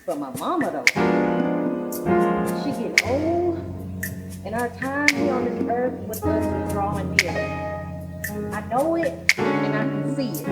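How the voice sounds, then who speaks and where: An actress in a stage play, playing an emotional, devout middle-aged mother who wants to get right. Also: fairly high pitch. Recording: echoey hall, distant mic, loud music.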